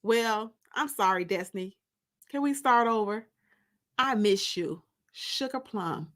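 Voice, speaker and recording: Woman voicing a smooth, teasing college guy, slow delivery. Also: mid-range voice, lively intonation, voice pushed down. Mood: coaxing. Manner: coaxing, flirtatious. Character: smooth, teasing college man sweet-talking his ex. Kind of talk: dramatic audiobook reading.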